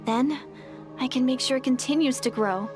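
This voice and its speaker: A woman's voice: sits high, moderate pace, close to an everyday voice. An actress playing an earnest, dreamy young village woman adventurer in a video game, speaking sincerely. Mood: determined. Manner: sincere, wistful storytelling. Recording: music playing behind.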